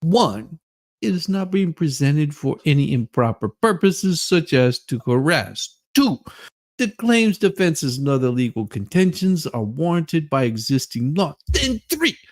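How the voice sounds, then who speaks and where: A man's voice: on the high side, darkish tone. A man doing a playful, theatrical dramatic reading of a legal document, with casual asides. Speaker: co-host reading a court ruling aloud.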